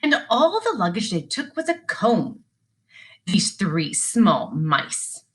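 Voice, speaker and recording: A woman teacher giving a sing-song read-along of a children's story, with deliberate, repeated phrasing. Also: mid-range voice, very animated. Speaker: teacher reading aloud.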